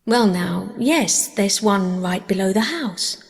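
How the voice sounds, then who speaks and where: Woman as a shy, halting countryman, reverberant. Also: mid-range voice, creaky. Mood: hesitant, plain. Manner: halting, reserved, folksy. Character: shy, quiet, slow-spoken countryman. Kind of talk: audiobook character voice.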